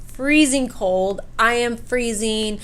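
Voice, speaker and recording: High-voiced woman telling a casual story. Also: takes it slowly. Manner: animated retelling, acts out quoted dialogue. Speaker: storyteller vlogger. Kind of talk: casual personal storytime narration.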